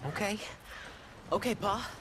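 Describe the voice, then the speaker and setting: A man's voice: high-pitched, unhurried. A young male actor playing a polite, reluctant, bookish boy, a rancher's son in a western video game, speaking meekly. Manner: meek, polite. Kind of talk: video game character acting. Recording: game dialogue over wagon noise.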